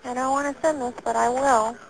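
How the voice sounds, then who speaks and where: A woman's voice: high-pitched, fairly even intonation, brisk, creaky. A woman in a casual telephone conversation, giving brief replies and asking questions. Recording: phone call with faint background noise.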